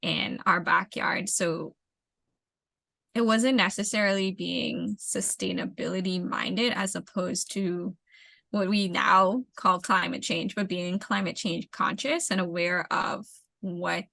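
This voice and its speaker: A young adult woman from the Bahamas, an urban planner, giving measured, explanatory answers in an interview and repeating words as she thinks. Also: on the low side, fairly even intonation, creaky, pushed and bright, dry recording.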